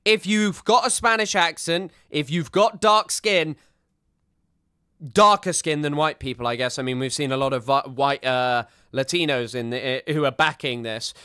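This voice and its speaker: A man's voice: fairly high pitch, normal speed, pushed and bright, smooth. A man giving sarcastic, rambling commentary while reacting to a clip, often restarting his sentences. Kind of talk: casual commentary.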